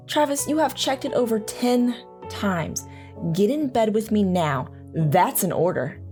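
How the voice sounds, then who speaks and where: A woman's voice: mid-range voice, animated, measured pace, warm tone, close to an everyday voice. Woman playing a wistful soldier's wife, music behind. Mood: playfully insistent. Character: devoted soldier's wife and mother, wistfully reminiscing. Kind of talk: audio drama acting.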